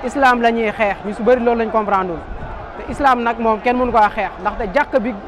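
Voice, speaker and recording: Impassioned high-voiced man at a rally. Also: brisk. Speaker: rally participant. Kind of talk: street interview. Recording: crowd and street noise, outdoor rally.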